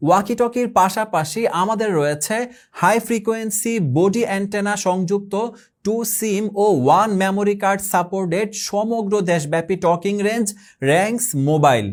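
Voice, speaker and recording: A man from Bangladesh delivering a scripted sales pitch, briskly listing product features, prices and uses. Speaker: product promoter. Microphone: handheld phone shown on camera.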